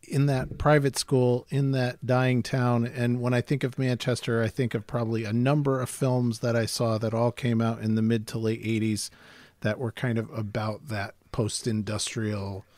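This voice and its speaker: A middle-aged man hosting an interview, asking questions in a relaxed conversational way. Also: mid-range voice, moderate pace, dry recording. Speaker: host.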